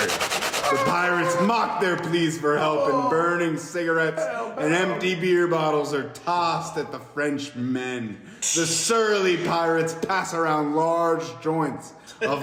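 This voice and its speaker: Echoey man reading a screenplay aloud. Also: fairly high pitch. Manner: halting, jokey reading with stumbles. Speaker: podcast host reading stage directions. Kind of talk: amateur screenplay table read. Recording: echoey reverberant room with faint hiss.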